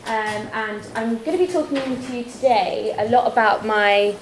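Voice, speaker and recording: A woman's voice: fairly high pitch, normal speed, reverberant, slight background noise, poor audio. A young woman from Wales with a faint Welsh accent, giving a chatty, self-deprecating talk in a lecture theatre, full of fillers and restarts. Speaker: guest lecturer. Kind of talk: conference talk.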